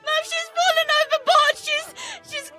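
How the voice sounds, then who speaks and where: A woman playing a terrified child who narrates a disaster at sea in halting, urgent first-person drama. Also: extremely high pitch, flat delivery. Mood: frantic, crying out. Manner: halting, repeating words, breathy urgency. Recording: loud dramatic music behind. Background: dramatic music.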